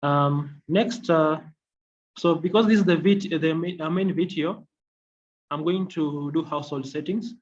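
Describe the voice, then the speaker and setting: A man giving a software demonstration as a technical trainer, explaining in a halting, repetitive way. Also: on the high side. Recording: dull lo-fi audio, faint noise.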